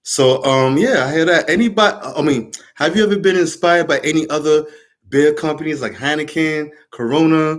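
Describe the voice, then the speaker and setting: A man's voice: fairly high pitch, normal speed. A man hosting a casual interview, jokey, who keeps repeating his affirmations. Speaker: show host and comedian.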